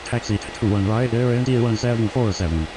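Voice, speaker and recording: A man's voice: mid-range voice, fast talker. A man speaking formulaic air traffic radio calls as a pilot, in a procedural manner.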